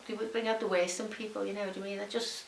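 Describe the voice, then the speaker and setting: A woman's voice: medium pitch, flat delivery, fast talker, gravelly. A woman, answering unscripted interview questions. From Liverpool, England. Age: 70s. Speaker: documentary interviewee. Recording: roomy sound with faint hiss.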